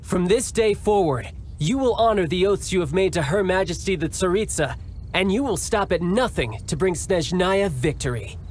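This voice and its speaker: A man's voice: high-pitched, expressive, moderate pace, slight background noise. A man voicing a cocky, playful young commander in a game cutscene, confident in speeches and fumbling his cover story, with light music behind. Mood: commanding. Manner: confident speechmaking with awkward improvised cover stories. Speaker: young military commander posing as a toy seller. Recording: soft game music underneath.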